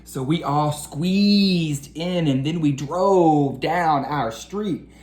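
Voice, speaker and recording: A woman reading a storybook aloud to children in an expressive, deliberate, sing-song way. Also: low voice. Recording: roomy echo with faint background noise.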